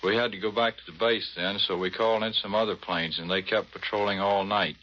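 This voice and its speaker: A man's voice: on the low side, steady tone, brisk. An American man, a Navy commander giving a modest, matter-of-fact scripted interview on 1940s radio. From United States. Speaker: Navy patrol squadron commander being interviewed. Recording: muffled, slightly noisy 1940s radio.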